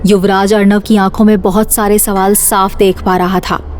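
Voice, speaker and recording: A woman's voice: mid-range voice, little pitch movement, moderate pace, a little mellow, slight background noise. A woman reading scripted suspense narration for an audio drama series.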